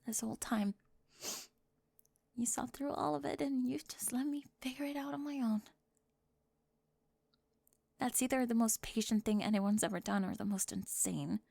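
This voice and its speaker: A woman plays a teenage cheerleader bully who is flustered by her own crush. Her high voice stammers and often drops into breathy half-whispers. The sound is very dry and intimate, in the style of an ASMR roleplay. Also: moderate pace, long pauses, dark tone, no echo. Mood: incredulous, guilty.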